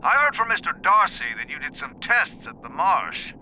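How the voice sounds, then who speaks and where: A man's voice: high voice, very animated, normal speed, sharp tone, a little hiss or hum, exaggerated voice, low-quality recording. An actor playing a polished, persuasive man who funds research, in an audio drama. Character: smooth, persuasive male backer of the research.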